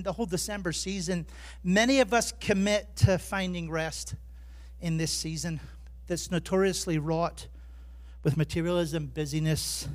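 Man, Irish accent, pastor, high-pitched, casual sermon, self-deprecating humor. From Ireland. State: jet lagged. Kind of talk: sermon with personal stories.

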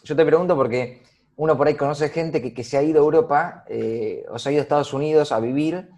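A man's voice: a little high, quick pace, darkish tone. A man with an Argentine Spanish accent, asking questions in a loose, conversational way and often repeating words. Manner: casual, repeats words while framing questions. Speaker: interviewer. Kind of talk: conversational interview.

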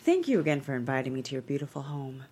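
A woman's voice: rumbling low, sing-song, quick pace, a little mellow, husky, heavily acted. A woman at a screenplay table read, playing a poised, commanding and playful female talk show host with folksy, emphatic showmanship. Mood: gracious, chatty. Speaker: actor at a script table read.

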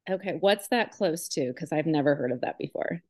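A woman's voice: sits low, expressive, brisk, darkish tone. A woman hosting a podcast interview, upbeat and enthusiastic as she introduces and questions her guest. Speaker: podcast host.

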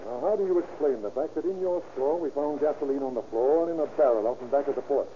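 A man's voice: a little high, natural voice. Male actor as matter-of-fact, probing police detective, old-time radio drama, dull and noisy sound. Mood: stern, probing. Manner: deliberate, formal, probing. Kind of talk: 1930s radio acting.

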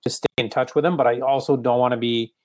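A man with a mild French accent talks in a relaxed, casual way about how he follows up with home buyers. His sentences stall and restart, padded with "you know" as he works out what to say. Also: medium pitch. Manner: halting, restarts often, many "you know"s. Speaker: real estate agent. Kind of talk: casual coaching conversation.

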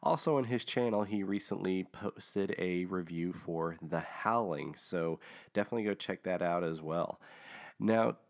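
A man hosting a casual book review, talking with many fillers and restarts, his voice a little hoarse from a recent illness. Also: sits low, unhurried. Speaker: host of a book review show.

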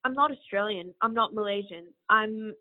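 A woman's voice: mid-range voice, normal speed. A woman from Bangladesh, a podcast guest, answering interview questions in an earnest, reflective way as she thinks aloud. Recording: phone-like narrow line.

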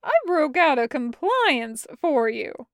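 A woman voicing a brash young man who swears and talks hysterically, loud and rushing. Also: high voice, very animated, no echo, studio quality, full character voice. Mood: frantic.

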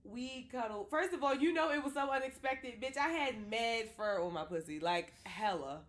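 Young female co-host, lively sing-song delivery, blunt and profane storyteller. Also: on the high side, normal speed. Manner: frank, profane, storytelling with lots of "like". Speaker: podcast co-host. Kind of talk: casual chat.

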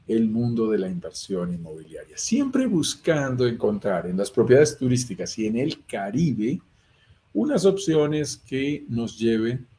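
Colombian guy, middle-aged, mellow voice, hosting a real estate livestream, earnest, lots of synonyms and "eh". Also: medium pitch. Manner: earnest, lists synonyms, frequent "eh" fillers. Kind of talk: livestream presentation to viewers.

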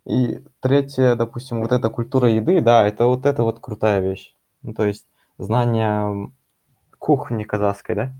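A man's voice: mid-range voice, steady tone, moderate pace, dark tone. A young man in a casual discussion, answering hesitantly and thinking aloud as he talks.

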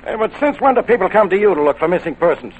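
Grumpy blustery american police inspector, highish voice, very up and down, old radio drama w some noise. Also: male voice, very animated, racing, muffled recording, heavily acted. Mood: exasperated. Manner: gruff, blustery, irritable. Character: Inspector Faraday, blustering, easily exasperated male police inspector. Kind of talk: 1940s radio detective drama acting. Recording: noisy old radio broadcast recording.

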